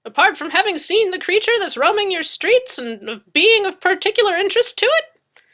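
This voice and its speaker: A woman voicing a sarcastic, teasing man in an audiobook, with a loud mocking lilt. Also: very high-pitched, expressive, normal speed, voice pushed up. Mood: sarcastic, amused. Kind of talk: dramatic audiobook character reading.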